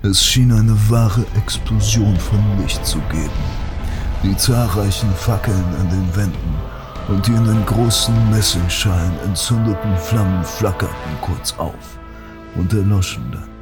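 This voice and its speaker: A man playing a grave narrator of dark fantasy, delivering scripted narration in a solemn, deliberate way. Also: on the low side, natural voice. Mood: ominous, grave. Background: music.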